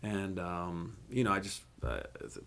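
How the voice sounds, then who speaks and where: A man's voice: low voice, measured pace, gravelly, a bit bright. Man reflecting casually in an interview. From Mexico and California. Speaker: podcast guest, musician.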